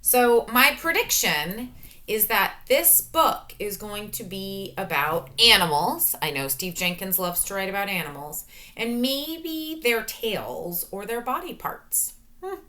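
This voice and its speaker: A woman teacher reading a picture book aloud to young children, talking through each step with expressive, sing-song questions. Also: medium pitch. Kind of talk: children's read-aloud lesson.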